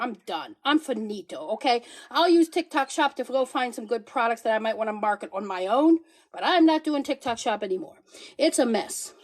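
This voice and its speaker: Sassy high-voiced woman livestreaming. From Paraguay. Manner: sassy, emphatic, pleading and teasing the viewers; switches accents playfully. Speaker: livestream host promoting products. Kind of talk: casual livestream selling.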